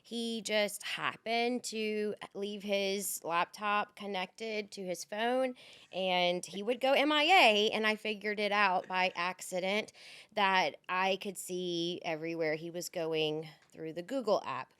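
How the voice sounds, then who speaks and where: Woman co-host, candid rambling storyteller, mid pitch, dry close sound. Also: takes it slowly, fairly bright tone, clear, resonant tone, no room sound. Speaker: podcast co-host. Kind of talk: casual chat.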